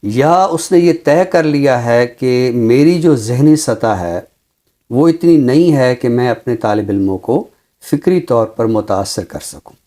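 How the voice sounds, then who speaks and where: A man speaking as a teacher, explaining his views deliberately with emphatic repetition. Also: mid-range voice, expressive, mellow. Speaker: Urdu teacher, programme guest. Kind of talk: considered discussion, explanatory.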